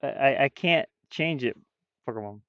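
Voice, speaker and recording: A man chatting casually on a livestream while drawing, rambling and muttering to himself, with bursts of loudness. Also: medium pitch, expressive, moderate pace, dry recording, lo-fi sound. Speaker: streamer drawing digital art.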